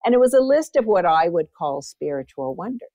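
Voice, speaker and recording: A woman's voice: fairly low pitch, sing-song, a little fast, mellow, dry recording, clean studio sound. A woman guest and former teacher with a big voice, telling personal stories in an interview. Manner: big voice, anecdotal storytelling. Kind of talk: interview storytelling.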